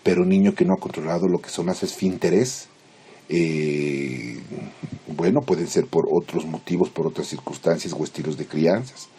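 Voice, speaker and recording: A man lecturing in Spanish as a teacher, explaining theory informally in halting, didactic phrases with check-in questions. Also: low-pitched, dark tone, slightly rough. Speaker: psychology lecturer.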